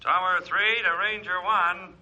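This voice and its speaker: A man playing a dutiful fire lookout reporting over the radio in an adventure drama, brisk and deferential. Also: sits high, fairly even intonation, muffled recording, loud music behind the voice. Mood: alert.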